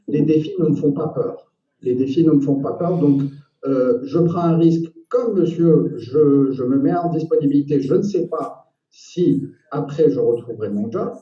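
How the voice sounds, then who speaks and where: A man debates as an election candidate, with a very mellow, dark timbre at a medium pitch. He is measured, pausing and repeating himself. He sounds distant in an echoey room, on dull audio. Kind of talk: political debate, spontaneous argument.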